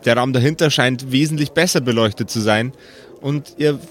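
A man narrating an improvised tabletop game as game master, deliberately and atmospherically. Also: medium pitch.

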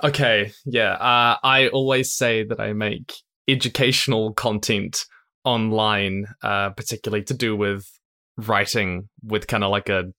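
Young adult male interview guest, New Zealand accent, lowish pitch, bright forward tone, informal and chatty. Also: fairly even intonation, unhurried. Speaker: interview guest, online video creator. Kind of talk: casual conversational interview answers.

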